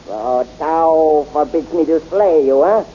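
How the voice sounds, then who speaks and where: Tyrant emperor, gloating, imperious, 1930s radio drama, dull hissy recording. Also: male voice, on the high side, takes it slowly, warm tone. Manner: commanding, theatrical. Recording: dull old radio recording with faint hiss.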